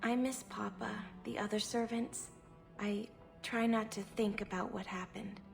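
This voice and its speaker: An actress playing a timid young elven servant in a video game, meek, deferential and eager to please. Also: female voice, mid-range voice, fairly even intonation, a little slow, vocal fry, faint music behind. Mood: sad, meek.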